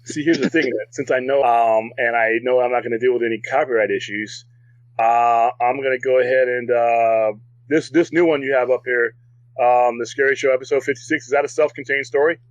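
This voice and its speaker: A man's voice: medium pitch, fairly even intonation, a little fast, no room sound, dull audio. A man hosting a live stream, chatting loosely with many fillers and setting up the next clip. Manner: rambling, filler-heavy, friendly. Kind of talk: casual live-stream chat.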